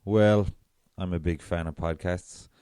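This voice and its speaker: An Irish man with a very deep voice hosting a solo podcast, chatty and self-deprecating. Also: steady tone, measured pace, warm tone, clear, resonant tone, no room sound. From south of Ireland. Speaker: podcast host.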